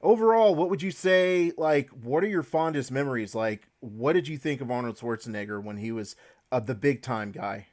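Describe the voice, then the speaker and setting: A man's voice: medium pitch, lively intonation, moderate pace, darkish tone. Man hosting a casual podcast. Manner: leads the talk, hedges and restarts. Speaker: podcast host.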